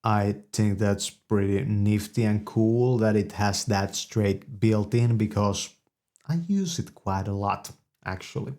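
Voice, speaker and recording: A man presenting an informal product demonstration in halting, piecemeal phrases. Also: fairly low pitch, a little mellow. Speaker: presenter reviewing an audio interface.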